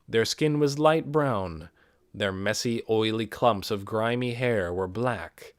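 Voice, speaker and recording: A man reading a fantasy novel aloud as scripted audiobook narration, with expressive storytelling delivery. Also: medium pitch, no room sound, clean studio sound. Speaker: amateur audiobook reader.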